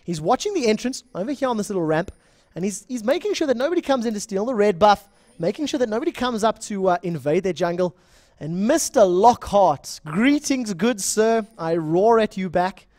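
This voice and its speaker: A man's voice: high-pitched, animated, normal speed, darkish tone, no room sound. A young man with a South African accent casting a live online game, talking in a chatty, rambling way, correcting himself and greeting viewers from the chat. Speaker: esports shoutcaster.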